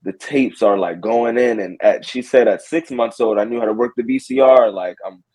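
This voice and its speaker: A man's voice: mid-range voice, moderate pace, darkish tone, no echo. A man in his early twenties, a guest on a casual podcast, talking in a laid-back way full of "like". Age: 20s. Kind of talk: casual interview chat.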